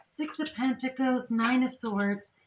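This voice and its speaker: A woman's voice: sits high, slow pace. A woman doing a chatty, meandering tarot reading while losing her voice, her throat strained. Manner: chatty, meandering, with many fillers and restarts. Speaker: tarot reader. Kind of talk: casual tarot reading to camera. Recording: echoey room with faint noise.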